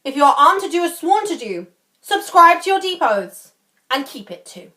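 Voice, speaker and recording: A woman's voice: very high voice, very animated, moderate pace, bright, forceful tone, pitched above the performer's own voice, full character voice. A woman playing a solemn, commanding king in a Shakespeare scene, declaiming formally. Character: solemn, commanding young king addressing his lords. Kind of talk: Shakespearean stage acting.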